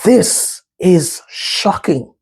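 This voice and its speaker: A Jamaican-accented man speaking slowly and earnestly through a slide talk. His voice is mellow and a little husky, and he keeps checking in with his listeners. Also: high-pitched, expressive. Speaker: mental health speaker.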